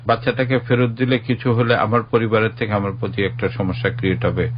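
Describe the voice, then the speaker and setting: A man's voice: lowish voice, flat delivery, a little fast, a little hiss or hum. Middle-aged Bangladeshi man, muffled sound. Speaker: interview guest. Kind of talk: earnest personal account.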